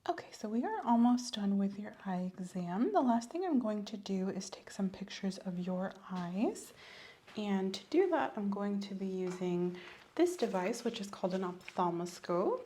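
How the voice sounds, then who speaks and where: A soft-spoken woman doing an ASMR medical exam roleplay, often dropping to a whisper as she gives gentle, reassuring instructions. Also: medium pitch, animated, normal speed, slight background noise. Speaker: ASMR creator playing a doctor.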